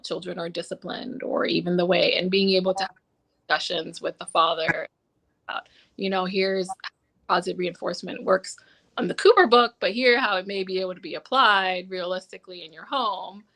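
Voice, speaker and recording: A woman telling a reflective personal anecdote on a panel, choosing her words in a halting, thoughtful way. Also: lowish voice, a bit bright, poor audio. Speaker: behavior analysis professional on a panel.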